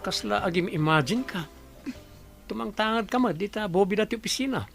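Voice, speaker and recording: A man's voice: high voice, lively intonation, fairly quick, vocal fry, a bit bright, soft background music, exaggerated voice. A man with a Filipino accent playing an easygoing, teasing office worker in an Ilocano radio comedy drama.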